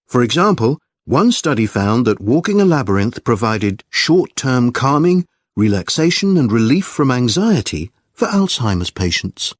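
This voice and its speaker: A man delivering a scripted academic lecture in a measured, clearly enunciated way. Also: mid-range voice, sing-song, no room sound. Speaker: lecturer reading an exam listening passage.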